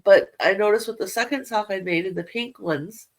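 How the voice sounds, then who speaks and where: A woman's voice: medium pitch, moderate pace. A middle-aged woman, nasal from a head cold, chatting casually and rambling a little as she shows her knitting to viewers. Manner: chatty, rambling, self-deprecating. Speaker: yarn crafting vlogger. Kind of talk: casual show-and-tell chat.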